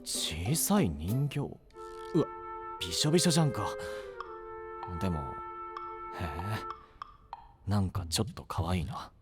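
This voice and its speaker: An actor playing a brash, rough-spoken young knight candidate with a kind streak, grumbling in slangy speech in a fantasy audio drama. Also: male voice, deep voice, big pitch swings, measured pace, pauses often, over loud music. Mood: muttering. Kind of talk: anime-style fantasy audio drama acting.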